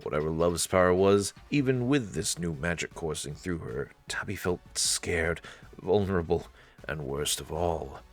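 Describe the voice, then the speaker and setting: Low-voiced man narrating a story. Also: a little slow, faint music behind. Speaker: let's play narrator.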